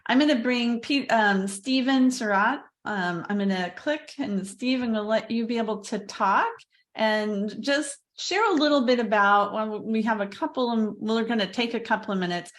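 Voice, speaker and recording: A woman hosting an online session as a college advisor, chatty and thinking out loud while she handles the call. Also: on the high side, moderate pace. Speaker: webinar host and college advisor. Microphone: video call.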